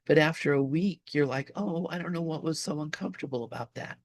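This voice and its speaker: A man leading a spiritual study group in an informal, conversational talk, often halting as he searches for words. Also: fairly high pitch, no echo, studio quality.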